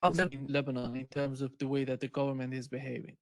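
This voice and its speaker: A man's voice: medium pitch, level tone, fairly quick. A man speaking casually as an interviewer on an internet video call.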